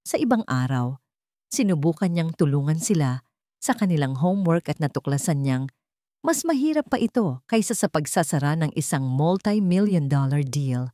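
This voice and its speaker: A woman's voice: sits low, big pitch swings, moderate pace, warm tone, no echo, studio quality. A woman with a Filipino accent reading a scripted dramatic story as a storyteller.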